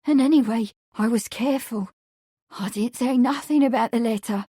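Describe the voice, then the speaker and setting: A woman's voice: a little high, moderate pace, warm tone, breathy edge, no room sound, clean studio sound. A woman voicing a young housemaid, anxious and tearful, speaking breathlessly and imploringly.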